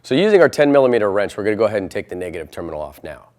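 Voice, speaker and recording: A man's voice: on the low side, big pitch swings, racing, very noisy. An American man presenting a step-by-step installation tutorial in an instructional style.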